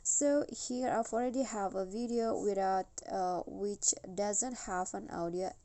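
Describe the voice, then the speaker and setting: Slow-talking woman with a mellow voice. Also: medium pitch. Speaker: tutorial host. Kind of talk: casual software tutorial narration.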